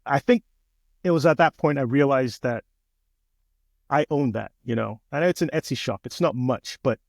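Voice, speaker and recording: A man from Nigeria, in his forties, tells his story as a podcast guest. His voice has a gravelly edge and a middle pitch. He talks in a relaxed, chatty way, recorded close and dry. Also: normal speed, no echo. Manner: relaxed, chatty, frequent "um" and "you know". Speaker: guest, business owner. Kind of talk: casual interview storytelling.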